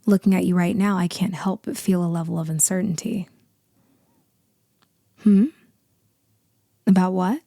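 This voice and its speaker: A low, very gravelly female boss acted by a woman in an ASMR roleplay. She is dominant, seductive and teasing, speaking slowly and deliberately. Her voice is breathy and often sinks into a whisper, very close and dry. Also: steady tone, warm tone, no room sound. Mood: cool, intimidating. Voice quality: deep, husky, breathy voice. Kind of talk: ASMR roleplay, slow intimate acting.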